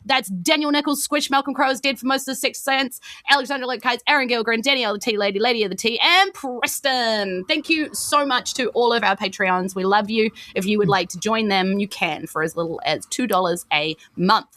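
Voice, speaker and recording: Bright-voiced New Zealand woman hosting a podcast. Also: on the high side, fairly quick, few pauses, no room sound. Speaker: podcast host and game master. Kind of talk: casual podcast sign-off and announcements.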